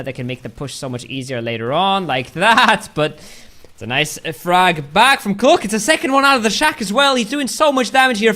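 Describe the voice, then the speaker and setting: A man with a fairly high voice calls a live esports match. He moves between analysis and excitable play-by-play, always following the action. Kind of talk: live game commentary.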